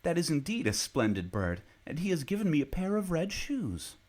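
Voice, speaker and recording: A man voicing a little girl in a fairy tale with a high, eager voice. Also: moderate pace, close to an everyday voice. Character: little girl, tearful then joyful.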